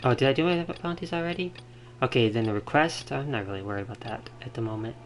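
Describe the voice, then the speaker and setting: A man streaming a video game, commenting casually and thinking out loud in a rambling way. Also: mid-range voice, fast talker. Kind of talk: casual live gameplay commentary. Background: video game music.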